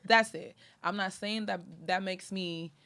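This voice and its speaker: A woman's voice: mid-range voice, steady tone, measured pace, sharp tone. A woman from Honduras, hosting a casual chat, talking animatedly and full of fillers. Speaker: podcast host.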